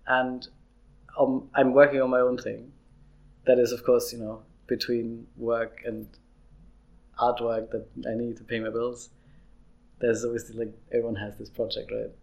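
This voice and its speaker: A man's voice: medium pitch, flat delivery, a little slow, creaky, long pauses, darkish tone. A young man, an illustrator, chatting in an interview, rambling with frequent restarts. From Austria. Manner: rambling, restarts often, laughs easily. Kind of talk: casual interview chat.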